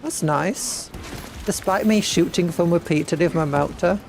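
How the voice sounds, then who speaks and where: A British woman streaming a game, chatting playfully and excitably. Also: on the low side, normal speed, slight background noise. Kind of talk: casual gameplay commentary.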